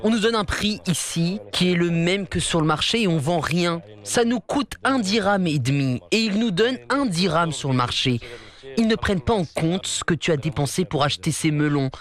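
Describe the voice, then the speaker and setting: A man's voice: sits high, expressive, moderate pace. A man, a produce trader, speaking in a frustrated, insistent way at an outdoor market.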